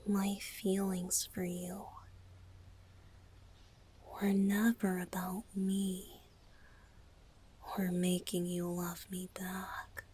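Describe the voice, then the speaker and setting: A woman voicing a tender, playful young male bard spirit in a soft, breathy whisper for a romantic ASMR roleplay, speaking in a halting, heartfelt way. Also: fairly low pitch, completely flat delivery, slight background noise. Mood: tender, confessional.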